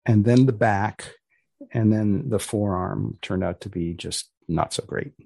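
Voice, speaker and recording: A man hosting a research podcast in a conversational way, with deliberate, dry-humored phrasing. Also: lowish voice, vocal fry, warm tone, slightly rough, no echo. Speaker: podcast host. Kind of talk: conversational interview about research.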